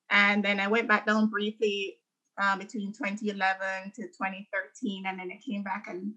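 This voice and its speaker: Podcast guest: woman in her thirties from Jamaica, bright voice, relaxed talker with lots of fillers. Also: mid-range voice, poor audio. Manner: chatty, frequent um fillers, laughs often. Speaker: interview guest, architect. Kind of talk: casual interview conversation.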